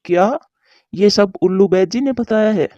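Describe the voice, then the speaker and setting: A woman's voice: mid-range voice, moderate pace, dark tone, no room sound, highs cut off, lightly acted. A woman voicing a caring, devoted male pigeon husband in a cartoon, with a concerned, reassuring manner. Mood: concerned, shocked. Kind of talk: Hindi cartoon voice acting.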